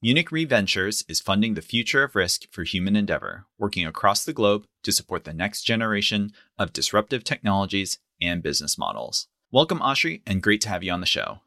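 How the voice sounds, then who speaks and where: Bright, upbeat male podcast host. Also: fairly low pitch, very animated, brisk, hardly any pauses, no echo, clean studio sound. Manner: upbeat, enthusiastic interviewer. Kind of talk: conversational podcast interview.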